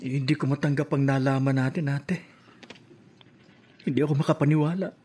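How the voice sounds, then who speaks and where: A man's voice: medium pitch, fairly even intonation, fairly quick, darkish tone, husky, close to an everyday voice. A man with a Filipino accent playing an earnest, hurt young son in a radio drama. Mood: hurt, brooding. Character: earnest, hurt, indignant young son confronting his father.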